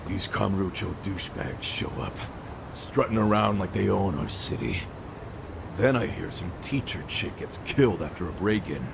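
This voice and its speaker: An actor playing a brash, hot-headed gang boss in a video game dub, with slangy, swaggering tough talk. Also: male voice, low-pitched, animated, normal speed, creaky, background noise. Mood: bitter, angry. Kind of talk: English-dub video game cutscene acting. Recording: music behind the voice.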